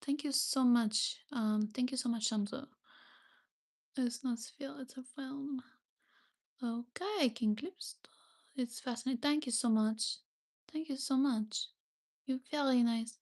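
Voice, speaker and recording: A woman's voice: high-pitched, a little slow, long pauses, darkish tone. A young woman chatting casually on a live stream, reading viewer comments and thanking them often, with frequent hesitations. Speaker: livestreamer.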